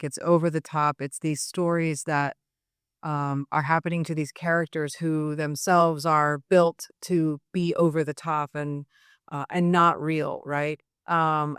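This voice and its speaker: A woman author on a panel who speaks in a loud, forthright voice while thinking through an idea. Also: deep voice, smooth, no echo, clean studio sound. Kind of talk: panel discussion answer. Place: library event space.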